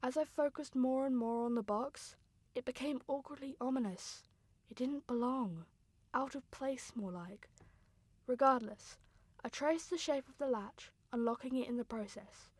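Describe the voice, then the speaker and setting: A woman playing a grieving young sister, narrating a radio drama in an earnest, sombre, deliberate way. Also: sits high, dry recording. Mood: sombre, wondering.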